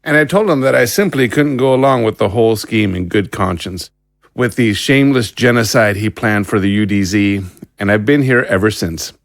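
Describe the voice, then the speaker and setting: An actor playing a guilt-ridden, resigned scientist held prisoner in an audio drama, speaking formally and articulately with regret. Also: male voice, on the low side, moderate pace, no echo, studio quality, close to an everyday voice. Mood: guilty, bitter.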